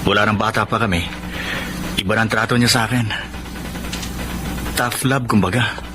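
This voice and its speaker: A man's voice: on the low side, fairly even intonation, moderate pace, close to an everyday voice. A man playing a dutiful, apologetic son in a Filipino drama, respectful and earnest, with a Filipino accent. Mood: apologetic, resigned. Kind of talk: Filipino broadcast drama acting. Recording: clearly noisy, background ambience.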